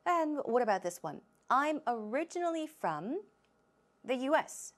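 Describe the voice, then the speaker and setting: A woman teaching English expressions in a lesson, slowing down to model each phrase and switching to Korean to explain. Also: fairly high pitch.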